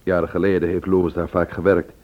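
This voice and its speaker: An actor playing a thoughtful, probing police inspector in a radio drama, polite and deliberate as he questions. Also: deep voice, little pitch movement. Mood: musing, probing. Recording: slightly muffled old radio recording.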